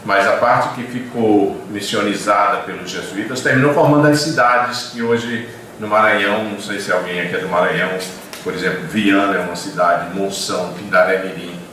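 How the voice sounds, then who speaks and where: A man's voice: on the low side, moderate pace, bright, forceful tone, recorded from a distance, a little hiss or hum. An older man, a university professor, giving a discursive lecture with frequent hesitations and restarts. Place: lecture room. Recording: echoey classroom recorded from afar.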